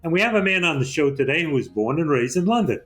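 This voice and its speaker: A man's voice: on the high side, sing-song, fast, fairly bright tone. Older male interview host, faint music. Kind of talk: interview hosting.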